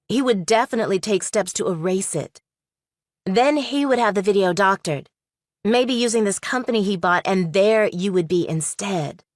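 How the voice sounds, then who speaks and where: A woman acts a shrewd young female law student in audiobook thriller dialogue. She is direct and probing, with a medium-pitched voice in a dry recording. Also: dry recording, close to an everyday voice. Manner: direct, questioning, analytical.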